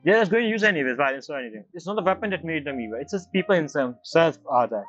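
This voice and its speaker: Male gamer, casual halting reactions, very expressive pitch, dull muffled recording. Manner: halting, informal, reacts aloud in fragments. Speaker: game streamer. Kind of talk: casual reaction commentary while gaming. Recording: muffled mic under loud game music. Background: loud game music.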